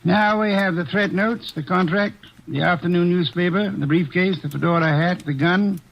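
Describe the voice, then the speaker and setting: Brief: a shrewd detective with a fairly high voice, calm and deliberate, questioning suspects. Muffled old radio broadcast sound. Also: male voice, vocal fry, slight background noise. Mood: composed. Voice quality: measured and deliberate. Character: shrewd portly male detective, calm and commanding. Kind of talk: 1940s radio acting.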